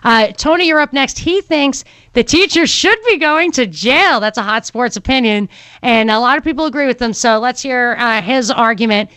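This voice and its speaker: Female talk radio host, slightly high voice, dry studio sound, opinionated and chatty. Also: normal speed, a bit bright, dry recording. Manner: opinionated, conversational, thinks aloud with fillers. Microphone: studio microphone.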